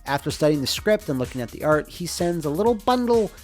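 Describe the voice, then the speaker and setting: A man's voice: fairly high pitch, big pitch swings, fast, darkish tone. A man giving an informal, conversational behind-the-scenes narration as a game developer, explaining things in a casual way. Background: music under the voice.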